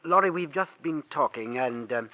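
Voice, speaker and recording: An actor playing a mild, soft-hearted husband in a 1940s radio drama, hesitant and placating. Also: male voice, a little high, animated, normal speed, lightly acted. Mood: reassuring. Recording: muffled old radio recording.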